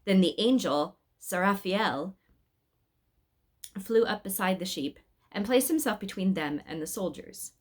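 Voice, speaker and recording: A woman's voice: medium pitch, expressive, unhurried. A woman reading a story aloud as a scripted narration. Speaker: reader of an Advent story.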